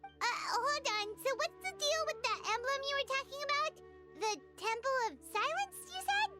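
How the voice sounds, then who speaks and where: Tiny cheeky fairy sidekick for a game, extremely high and childlike, swooping delivery, music behind. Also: female voice, expressive, normal speed, pushed and bright, heavily acted. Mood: curious, uneasy. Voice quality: squeaky. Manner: exaggerated, inquisitive. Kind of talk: video game voice acting.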